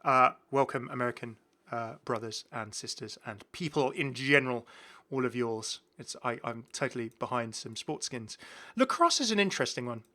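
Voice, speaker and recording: Bright-voiced, rambling British man. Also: mid-range voice, animated, moderate pace. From United Kingdom. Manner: rambling, self-correcting, enthusiastic. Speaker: gaming video host. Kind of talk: casual game commentary.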